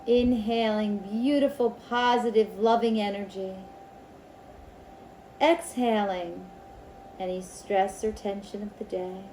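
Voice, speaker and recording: Female meditation leader, slightly high and very mellow, ceremonial phrasing with pauses, faint ambient noise behind. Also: fairly even intonation, poor audio. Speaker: Reiki circle leader. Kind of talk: guided meditation with spoken invocations.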